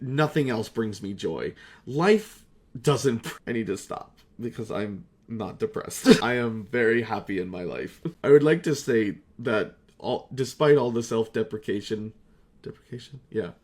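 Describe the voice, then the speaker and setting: A young man rambling casually as a video creator, self-deprecating, excitable and quick to swear. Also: on the low side. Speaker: video creator, amateur voice actor. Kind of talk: casual rambling retrospective vlog.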